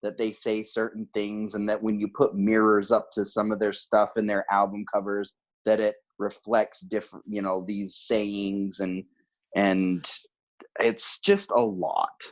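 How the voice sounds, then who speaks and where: A man's voice: fairly low pitch, measured pace. A man on a podcast panel, explaining and debating in casual conversation.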